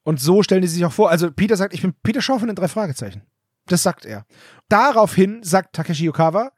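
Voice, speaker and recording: Male podcast co-host, fairly high voice, dry close sound, explains plot at length. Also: lively intonation, quick pace, husky, no room sound. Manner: explains plot details, reads aloud from the book. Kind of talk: casual chat.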